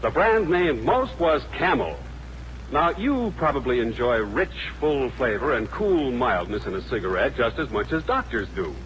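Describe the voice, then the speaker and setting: A man's voice: mid-range voice, sing-song, normal speed, fairly bright tone, low-quality recording. A man reading a scripted 1940s radio commercial in a polished, persuasive announcer style. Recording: old radio recording, faint noise.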